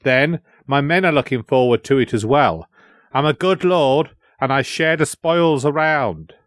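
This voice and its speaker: A man voicing a smug, leering villain warlord in a storybook, gloating with drawn-out emphasis. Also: medium pitch, no echo, studio quality, voice pushed up, natural voice. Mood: leering menace.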